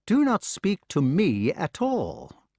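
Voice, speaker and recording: A man voicing a pale, bitter young knight who speaks slowly, tonelessly and with mockery. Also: high voice, huge pitch swings, dark tone, no echo, clean studio sound, pitched above the performer's own voice, exaggerated voice. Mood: cold. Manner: toneless, slow and sneering.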